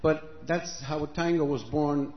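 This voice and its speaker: A man's voice: fairly high pitch, takes it slowly. Multilingual man joking from a stage. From Argentina. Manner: switches languages, joking and conversational. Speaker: musician addressing a concert audience. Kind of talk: stage introduction to an audience. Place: outdoor concert stage. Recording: muffled live recording with audience.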